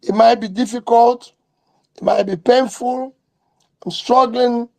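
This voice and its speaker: A man's voice: very high-pitched, steady tone, a little slow, gravelly, dark tone. A man speaking at a public event in short, blunt, emphatic sentences.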